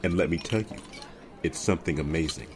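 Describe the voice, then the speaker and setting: A man playing a polished, perceptive romantic suitor in an audio drama, speaking in a measured, earnest, poetic way. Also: extremely low pitch. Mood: earnest, tender.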